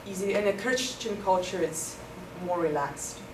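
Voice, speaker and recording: A woman's voice: mid-range voice, normal speed. A young woman from South Africa whose first language is Afrikaans, giving thoughtful interview answers with many ums. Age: young adult. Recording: echoey room, far from the mic, noisy.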